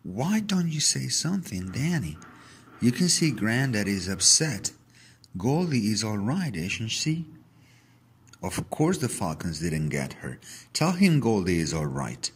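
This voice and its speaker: A man's voice: fairly low pitch, very animated, a little slow, husky, pitched above the performer's own voice, exaggerated voice. A man voicing a fussing, exasperated mother in a children's storybook. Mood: scolding. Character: fussing, exasperated mother scolding her young son. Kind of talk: children's storybook read-aloud.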